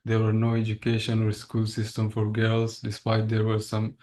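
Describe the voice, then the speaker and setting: Young guy from afghanistan talking on a webinar, pretty low, flat monotone, earnest, keeps restarting. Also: moderate pace. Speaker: guest speaker. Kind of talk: webinar talk.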